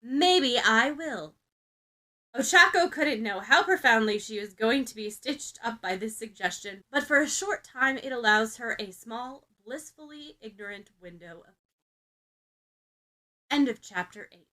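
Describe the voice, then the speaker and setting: Female audiobook-style narrator, high bright voice, expressive scripted storytelling. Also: normal speed. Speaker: podfic narrator.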